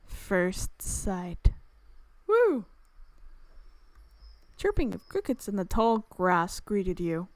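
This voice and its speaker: Slow-paced woman reading a game aloud. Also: medium pitch, sing-song, no room sound. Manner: chatty, exclamatory asides. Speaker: gaming channel host. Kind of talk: let's-play commentary, reading visual novel narration aloud with casual asides. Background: game music.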